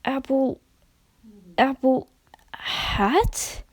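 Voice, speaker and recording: A woman voicing a frightened, confused pony mare who speaks in halting, muttered fragments. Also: high voice, expressive, no echo, whispered, pitched above the performer's own voice, full character voice. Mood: unsure, guessing.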